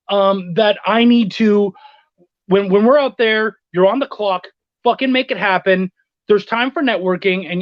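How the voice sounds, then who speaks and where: On a slightly muffled, very dry recording, a man talks casually as an interview guest. He tells stories in an animated, conversational way and swears often. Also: high voice, dry recording. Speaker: guest, freelance writer and editor. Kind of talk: casual anecdotal chat.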